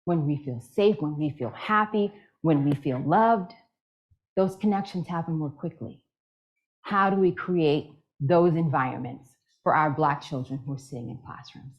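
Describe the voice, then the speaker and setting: Low-voiced woman giving a keynote. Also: normal speed, dull audio. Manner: earnest, emphatic repetition. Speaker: keynote speaker. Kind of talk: keynote speech with Q&A.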